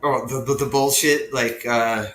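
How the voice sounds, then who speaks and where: Raspy man hosting in an echoey room. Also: medium pitch, steady tone, unhurried, a bit bright. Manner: laughing, loose and profane. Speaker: podcast host. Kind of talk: casual group discussion. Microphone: video call.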